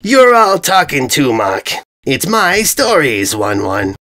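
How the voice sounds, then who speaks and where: Male reader as armoured invader, dim-witted, boastful, childish, slow drawl, animated pitch, comic storybook villain. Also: heavily acted. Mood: angry. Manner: bad grammar, blustering, drawn-out words.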